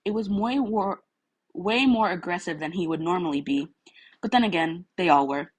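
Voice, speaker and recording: Husky, low-pitched woman reading fiction. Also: normal speed. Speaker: fan fiction reader. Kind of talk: expressive narration of a story.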